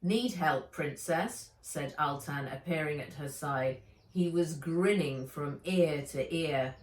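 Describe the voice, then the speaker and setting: Unhurried woman storyteller in a roomy space. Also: low-pitched. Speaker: author reading their own book. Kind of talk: children's audiobook narration. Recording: roomy echo, slightly distant mic.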